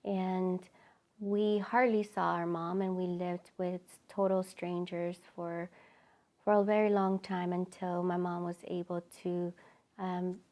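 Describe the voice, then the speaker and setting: A middle-aged woman from El Salvador tells her personal testimony in a halting, reflective way with many pauses. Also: fairly low pitch, little pitch movement, dull audio. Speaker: ministry founder.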